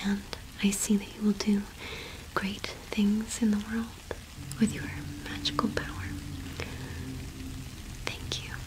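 A young woman playing a kind, welcoming cottage witch in a whispered ASMR roleplay, speaking softly and reassuringly without hurry. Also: medium pitch, natural voice, low-quality recording. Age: young adult. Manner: soft, unhurried, gently reassuring. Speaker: ASMR performer.

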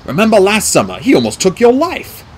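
Man voicing a measured, dignified middle-aged centaur mentor. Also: high voice, wildly swooping pitch, a little hiss or hum, voice pushed up. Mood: earnest warning. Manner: measured, formal, deliberate. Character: wise, kindly middle-aged male centaur teacher, grave and dignified. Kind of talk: audiobook character dialogue.

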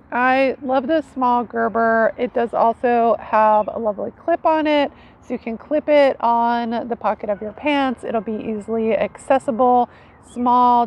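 A woman in her forties giving an informal, conversational explainer to camera outdoors, like a teacher showing gear. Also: on the high side. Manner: informal, conversational, often seeking agreement with "right". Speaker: foraging teacher presenting knives. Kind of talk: casual explainer talk to camera. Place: outdoors under a tree in a leafy yard. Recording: dull sound with outdoor ambient noise.

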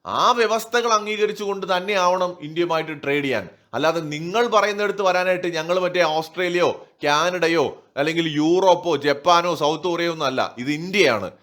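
A man's voice: a little high, fairly bright tone. A man giving opinionated political commentary in an emphatic, rhetorical manner. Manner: emphatic, rhetorical, asking and answering own questions. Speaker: online news commentator.

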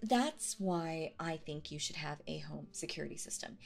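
A woman's voice: sits low, expressive, moderate pace, vocal fry, soft background music, heavily acted. A woman playing a sweet but possessive, obsessive young woman on a date in a roleplay audio, stammering nervously and prone to jealousy. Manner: flustered, stammering, nervous giggles, swinging to jealous. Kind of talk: roleplay audio acting, intimate one-sided dialogue.

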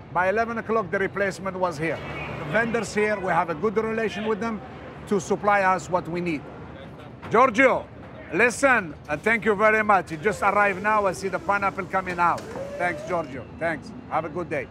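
A man's voice: high voice, moderate pace, background noise. A man working as a provisions manager, explaining and giving instructions on the job in blunt, short phrases. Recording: location sound with music underneath. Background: music.

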